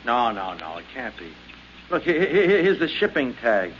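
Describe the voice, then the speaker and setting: A man acting a flustered, eager suburban husband who trips over and repeats his words. His pitch moves in lively jumps, in the manner of 1950s radio comedy. The recording is dull and somewhat noisy. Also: moderate pace, close to an everyday voice. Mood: agitated. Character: flustered excitable suburban husband, keen kit hobbyist.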